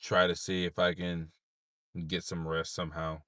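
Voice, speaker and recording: A tired man with a deep voice, rambling in a laid-back way with many fillers while livestreaming from his parked car at night. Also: monotone, normal speed, sharp tone, clear, resonant tone, dry recording, clean studio sound. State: tired, unable to sleep. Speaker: streamer. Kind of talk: late-night livestream chat.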